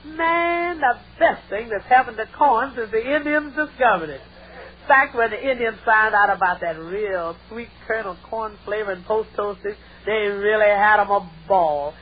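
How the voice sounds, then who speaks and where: Dull lo-fi radio sound, actress as comic housemaid, folksy, drawling, wisecracking, mid-century sitcom delivery. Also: female voice, mid-range voice, lightly acted. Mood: cheerful selling. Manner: folksy, drawling, quick to quip. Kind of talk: 1940s-50s radio sitcom acting. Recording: dull, lo-fi vintage radio recording.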